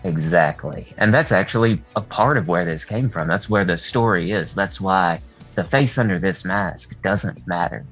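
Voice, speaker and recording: A man with a husky voice talking candidly and at rambling length as a guest in a casual interview. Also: low-pitched, moderate pace, fairly bright tone. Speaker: horror artist and video creator, interview guest. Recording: dull lo-fi sound, music behind. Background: music.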